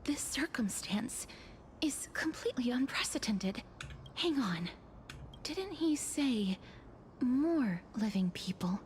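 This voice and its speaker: An actress playing a gentle, solemn young woman in a video game, soft and breathy with formal phrasing. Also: on the high side, very animated, slow, slight background noise. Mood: pondering. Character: gentle, solemn young woman who eases souls into rest. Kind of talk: scripted game dialogue.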